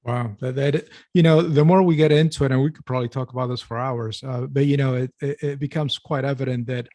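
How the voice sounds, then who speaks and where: A man's voice: mid-range voice, steady tone, quick pace, dark tone, dry recording. Jokey male podcast co-host, dry sound. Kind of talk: casual podcast chat.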